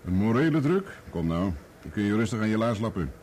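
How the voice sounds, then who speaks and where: An actor playing a brisk newspaper boss in a Dutch radio play, decisive and dismissive. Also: male voice, on the low side, very animated, a little mellow. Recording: old radio play, clear background noise.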